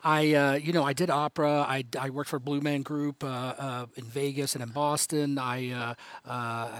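A man's voice: medium pitch, little pitch movement, moderate pace, dry recording. A man in his fifties from Houston, a guest chatting casually, full of fillers and laughing often. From United States, grew up in Houston. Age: 50s. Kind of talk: casual chat on a poker show.

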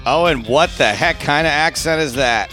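A man's voice: fairly high pitch, moderate pace, over music. A man co-hosting a podcast, chatting casually in an informal, emphatic way. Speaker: podcast co-host. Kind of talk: casual chat.

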